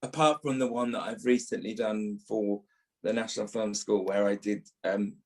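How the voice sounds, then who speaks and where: Guy in his 50s, gravelly voice, thoughtful interview chat, repeats stuff for emphasis. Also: lowish voice, steady tone, normal speed, darkish tone. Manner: emphatic, repeats phrases for stress. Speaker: actor, interview guest. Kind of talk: reflective interview talk.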